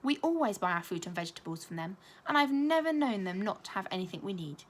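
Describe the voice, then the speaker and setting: A woman reading a children's story aloud like a primary school teacher, expressive and clearly paced. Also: mid-range voice, fast.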